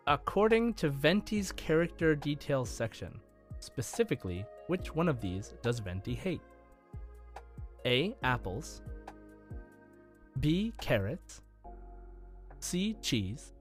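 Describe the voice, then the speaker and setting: Man hosting a casual quiz, music behind. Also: a little high. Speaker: podcast co-host running a quiz. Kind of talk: casual quiz-show banter with read-out questions.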